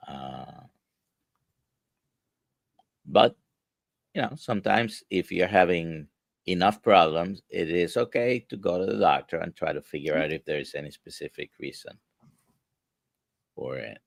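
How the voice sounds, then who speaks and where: Man, Spanish accent, native Spanish speaker, low gravelly voice, halting with fillers, dry close sound, live-stream host. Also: lively intonation, no echo. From grew up speaking Spanish, now near Orlando. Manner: halting, many "uh" fillers, reads viewer questions. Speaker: host, physician. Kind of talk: casual live-stream interview.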